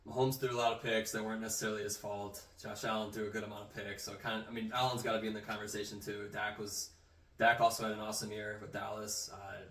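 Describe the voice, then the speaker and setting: A young man talking sports fast, rambling through his points with plenty of fillers. His voice sits lowish and sounds roomy, picked up from a distance. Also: little pitch movement, a bit bright. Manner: quick, rambling, lots of "like" and "you know". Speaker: co-host. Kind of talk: casual sports chat.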